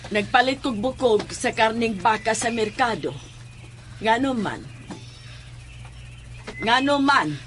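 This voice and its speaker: Woman as slow, stern, probing mother. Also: a little high, lively intonation, fairly bright tone, slight background noise. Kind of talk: horror audio drama acting.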